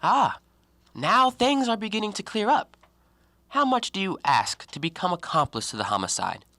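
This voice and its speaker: Audio drama: male actor for a self-righteous, scornful intellectual man; bright voice, precise argumentative diction, very dry close sound. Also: high-pitched, sing-song, moderate pace, dry recording, full character voice. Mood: wary, reasoning. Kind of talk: audio play acting.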